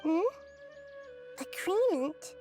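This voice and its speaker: A tiny, kindly girl deity from a video game. The voice is extremely high and youthful, with thoughtful, articulate phrasing and a caring manner. Music plays faintly underneath. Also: sing-song, very slow, full character voice. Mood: curious, pondering. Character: small kind young girl deity, wise and reassuring. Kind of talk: scripted video game character dialogue.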